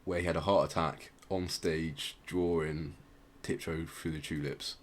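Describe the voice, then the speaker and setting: A man hosting a podcast, informally explaining music history in a halting way as he searches for words. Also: rumbling low, fairly even intonation. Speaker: podcast host.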